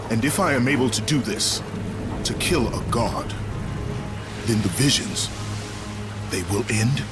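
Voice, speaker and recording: An actor playing a grim, brooding Spartan warrior who speaks in a cold, bitter way, over loud game music. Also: male voice, mid-range voice, expressive, unhurried, natural voice. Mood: doubtful.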